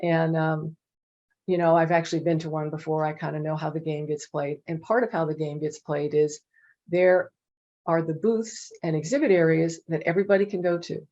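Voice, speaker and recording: A middle-aged woman on a video call speaks in a fairly low voice and tells stories in a chatty, animated way, with plenty of fillers. The sound is muffled and lo-fi. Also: normal speed. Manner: chatty, animated, many "you know" fillers. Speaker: panel presenter. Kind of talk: casual anecdotal storytelling. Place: home office with bookshelves. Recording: dull lo-fi video call audio.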